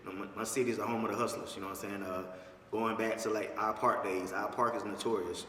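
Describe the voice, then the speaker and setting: A man's voice: on the low side, little pitch movement, quick pace, fairly bright tone. A man with a Georgia Southern accent talking casually and emphatically in slang, as a guest in an interview. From Dublin, Georgia, USA. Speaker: interview guest, club promoter and host. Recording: echoey reverberant room, distant mic, faint noise.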